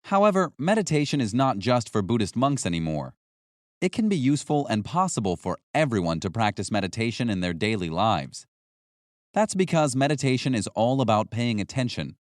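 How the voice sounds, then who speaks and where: A man reading scripted nonfiction as an audiobook narrator, with a measured, instructional delivery. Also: medium pitch, no room sound, clean studio sound.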